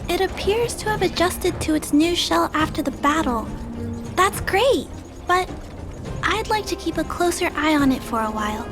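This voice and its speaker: A woman voicing a gentle, caring young girl in a video game, polite and earnest. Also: very high voice, animated, moderate pace. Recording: game music behind the voice.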